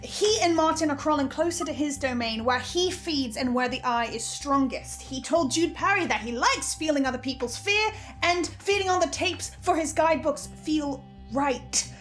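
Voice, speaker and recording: Actress playing anxious young woman, high pitch, strained, halting, audio drama, faint music bed. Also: animated, fairly bright tone. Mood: grim, insistent. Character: anxious, haunted young woman fearing her own corruption.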